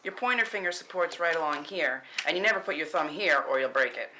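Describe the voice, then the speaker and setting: Bright, cool, confident woman, acted at a reading. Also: deep voice, expressive, quick pace, rough recording. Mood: confident, instructing. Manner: casual, instructing and teasing. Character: Ryan, cool confident sharpshooting woman, teasing friend. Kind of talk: screenplay table read. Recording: faint room background noise.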